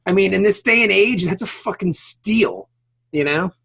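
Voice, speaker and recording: A man's voice: sits high, normal speed, vocal fry, a bit bright, slightly rough. A man hosting a casual podcast, bantering in an effusive, teasing way with plenty of swearing. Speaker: podcast host.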